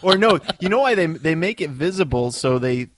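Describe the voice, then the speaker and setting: A man co-hosting a podcast, a touring performer chatting in a relaxed, easygoing way and voicing strong opinions. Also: a little high, brisk, no echo. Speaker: podcast co-host. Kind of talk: casual chat.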